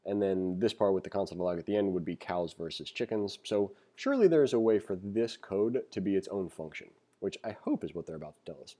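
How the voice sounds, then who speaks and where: A man with a low, very mellow, relaxed voice. He works as a programming instructor, reading a text aloud in a casual way. Between passages he thinks out loud and explains things informally. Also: a little fast, hardly any pauses. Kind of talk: casual read-along tutorial, reading aloud and commenting. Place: screen-recorded voice-over.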